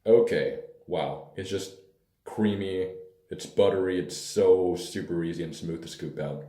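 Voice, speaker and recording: Hoarse deep-voiced man reviewing pomade. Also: measured pace. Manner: relaxed, rambling, hesitant fillers. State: hoarse, lost voice. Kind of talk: casual product review. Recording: echoey room, mic at a distance.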